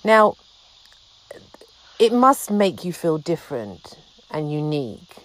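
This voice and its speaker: A woman hosting a podcast interview, giving her guest warm, emphatic praise. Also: low-pitched, big pitch swings, slow pace. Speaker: podcast host. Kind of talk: interview conversation.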